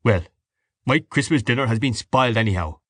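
A man's voice: a little high, very animated, a little fast, a bit bright, dry recording, pitched above the performer's own voice. A man voicing a coarse, sarcastic, blustery father in an audiobook novel. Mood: bitter, mocking. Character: coarse, bitter, mocking Irish father at dinner.

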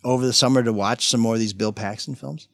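A man's voice: mid-range voice, steady tone, fast talker, gravelly, no echo. A man co-hosting a radio talk show, joking and teasing in casual chat. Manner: teasing, playful. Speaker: co-host.

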